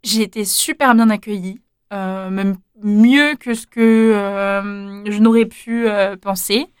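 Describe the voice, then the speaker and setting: A woman's voice: medium pitch, steady tone, slow, clear, resonant tone, dry recording, clean studio sound. A young woman answering interview questions casually, hesitant, with many fillers and restarts. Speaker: interviewee, former volunteer.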